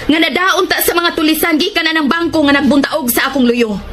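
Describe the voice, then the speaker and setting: An actress playing an excitable, determined TV news reporter in a radio action drama, with a Cebuano accent. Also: female voice, sits high, fairly quick, crowd noise, close to an everyday voice. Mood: formal reporting. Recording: loud music bed under the dialogue.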